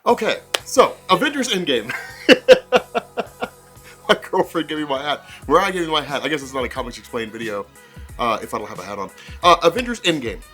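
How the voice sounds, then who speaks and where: A man's voice: fairly high pitch, big pitch swings, normal speed, bright, forceful tone, soft background music. A man with a congested, cold-affected voice giving casual, rambling fan commentary as a video host, repeating himself and peppering his talk with "like". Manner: rambling, conversational, repeats phrases and says like often. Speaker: comics explainer video host.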